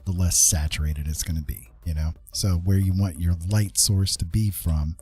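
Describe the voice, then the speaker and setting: A man hosting a casual art livestream, thinking aloud in an unhurried, tentative way and often checking in with listeners. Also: low voice, fairly even intonation, warm tone, faint music behind. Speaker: livestream host, digital artist.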